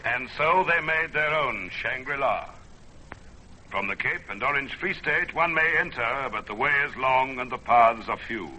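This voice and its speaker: An actor as the solemn storyteller of an old radio adventure, narrating in emphatic, portentous phrases. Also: male voice, medium pitch, expressive, a little slow, bright, forceful tone, a little hiss or hum, poor audio. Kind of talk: dramatic scripted radio narration.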